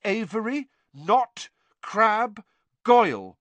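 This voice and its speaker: A man voicing a defiant teenage boy wizard who insists urgently and shouts, in a storybook reading. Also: high voice, big pitch swings, extremely slow, dry recording, pitched above the performer's own voice, heavily acted.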